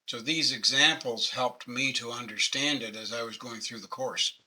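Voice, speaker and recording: A man's voice: medium pitch, moderate pace, a loud crowd, rough recording. A man in his late sixties from Canada with a Canadian accent, patiently explaining grammar in a casual online class over a webcam. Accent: Canadian English. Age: 60s. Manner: patient, conversational, self-deprecating. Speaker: webinar presenter. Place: home office. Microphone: webcam video call.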